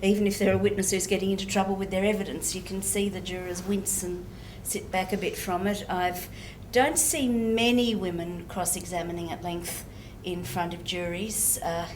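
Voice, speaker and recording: A woman's voice: on the low side, fairly even intonation, moderate pace, few pauses, a little mellow, a little hiss or hum, poor audio. A woman barrister sharing her observations thoughtfully in a panel discussion.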